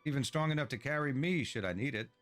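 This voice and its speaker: A man voicing a kindly, indulgent old dwarf villager in a fantasy game, gently old-fashioned in manner. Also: medium pitch, fast, faint music behind, close to an everyday voice. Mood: indulgent, coaxing. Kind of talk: game dialogue read aloud.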